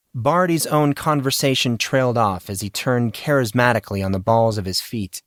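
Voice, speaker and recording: A man's voice: on the low side, no room sound. Male fiction narrator, dry close sound.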